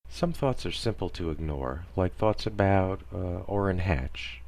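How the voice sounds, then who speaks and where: A man narrating a scripted parody guided meditation in a deadpan, soothing instructional manner. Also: low-pitched, unhurried, vocal fry.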